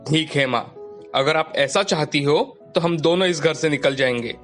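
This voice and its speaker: Man acting out dutiful grown son, earnest, firm, measured, highish voice, cartoon storytelling, music behind. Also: fairly bright tone, lightly acted. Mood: firm, hurt. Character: dutiful adult son, protective of his wife, firm.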